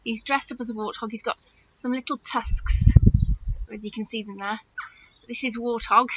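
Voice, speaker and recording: A woman reading a story aloud to young children like a nursery teacher, in an animated, exaggerated manner, often putting questions straight to them. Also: fairly high pitch, moderate pace, lo-fi sound, heavy background noise.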